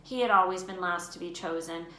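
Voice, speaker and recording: A woman teacher reading a children's novel aloud, expressive and voicing the characters. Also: on the low side, a little fast. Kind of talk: storybook read-aloud. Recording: roomy with faint noise.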